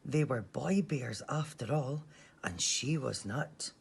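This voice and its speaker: A woman's voice: extremely low pitch, slow pace, gravelly, slight background noise, exaggerated voice. A woman voicing small, anxious baby bears from a children's storybook in a wondering, plaintive, childlike manner. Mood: worried, plaintive.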